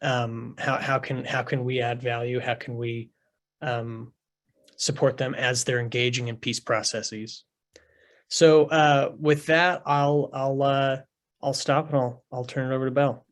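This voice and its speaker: A man's voice: medium pitch, fairly even intonation, measured pace. A man presenting a research study on a panel, with frequent ums and repeated words.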